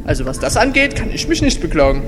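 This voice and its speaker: A man with a high, very animated voice performs casually in an amateur audio play. His pitch moves freely, and music plays clearly behind him. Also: fast talker. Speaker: podcast cast member in an amateur audio play. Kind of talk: lively amateur radio-play acting and casual greetings.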